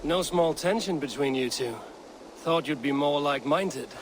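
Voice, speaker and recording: An actor playing a resolute young Viking raid leader in a video game, speaking in a terse, decisive way. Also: male voice, on the high side, moderate pace, lightly acted. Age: young adult. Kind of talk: video game dramatic dialogue. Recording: clear background noise.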